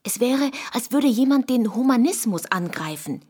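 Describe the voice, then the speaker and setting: An actress playing a cool, calculating political confidante who speaks in a controlled, persuasive way in a radio drama. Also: female voice, a little high, expressive, moderate pace, dark tone, breathy edge. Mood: cool, reassuring. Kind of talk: intimate radio drama dialogue.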